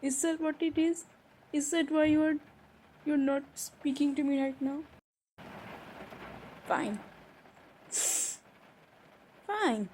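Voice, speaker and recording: Woman acting a high-voiced, wounded young woman. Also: slow pace, pauses often, a little mellow. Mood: hurt, defiant. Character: hurt, defensive young woman confronting her stepbrother. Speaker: audio roleplay performer. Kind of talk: improvised romantic roleplay drama. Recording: noisy background ambience.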